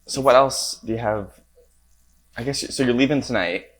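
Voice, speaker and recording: A podcast host in casual chat, asking curious questions and laughing along. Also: female voice, a bass voice, moderate pace, rough recording.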